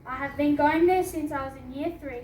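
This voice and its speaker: Teenage girl, high voice, earnest reading, far-off echoey hall. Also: fairly even intonation, a little fast, a little hiss or hum. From Australia. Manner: earnest, with small laughs. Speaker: student reading her story. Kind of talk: reading a personal essay aloud. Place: hall at a book launch.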